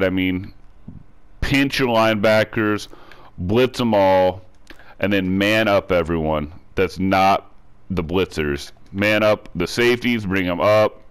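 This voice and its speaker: A man's voice: sits low, clear, resonant tone. A man with a cold and a stuffed-up nose, talking through a video game defense step by step in a casual voice-over, often repeating and restarting himself. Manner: repetitive step-by-step instruction with frequent restarts. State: congested from a cold. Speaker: gaming tutorial creator.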